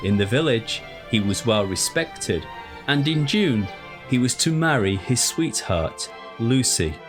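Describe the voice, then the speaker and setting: A man reading a scripted ghost story in a measured, deliberate, formal storytelling manner. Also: mid-range voice, animated. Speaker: narrator. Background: music.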